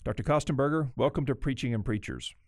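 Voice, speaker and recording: A man's voice: mid-range voice, fast talker, dry recording, studio quality. Formal man hosting a podcast. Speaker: podcast host. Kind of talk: podcast interview.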